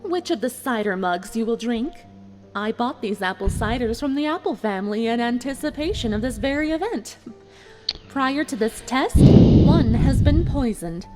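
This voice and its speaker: A woman voicing a smug, cruel female pony villain in a dramatised fan fiction reading, measured and mockingly apologetic. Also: fairly high pitch, lively intonation, music in the background, close to an everyday voice, poor audio. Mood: coolly menacing. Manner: measured, mock-apologetic, taunting.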